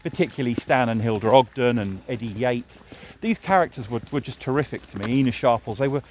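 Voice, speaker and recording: A man's voice: mid-range voice, fast talker, a little mellow. A man, a television drama writer, talking in a casual, thoughtful way with many fillers and restarts. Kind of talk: casual conversation. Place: outdoors by a road. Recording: faint street noise with passing traffic.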